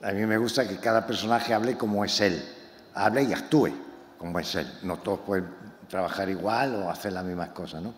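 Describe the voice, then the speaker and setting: A novelist from Málaga, presenting his book to an audience in a talk that is impassioned and halting, with many repeated words. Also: on the low side. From Málaga, Spain. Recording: echoey hall, faint room noise.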